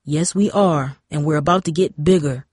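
A woman's voice: deep voice, moderate pace, darkish tone, dry recording, studio quality, voice pushed down. A woman playing a concerned father of teenagers in a storybook, firm and reassuring.

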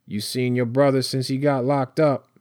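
A man voicing a wiry, sickly, menacing older gun dealer in an audiobook, rough and raspy, with blunt street slang. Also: mid-range voice, little pitch movement, normal speed, darkish tone, dry recording, clean studio sound, pitched above the performer's own voice, lightly acted. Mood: serious.

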